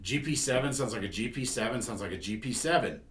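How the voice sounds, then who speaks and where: A man's voice: medium pitch, expressive, quick pace. Man explaining in an echoey room. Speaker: host and product demonstrator. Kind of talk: explanatory tutorial demonstration. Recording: echoey room with faint background noise.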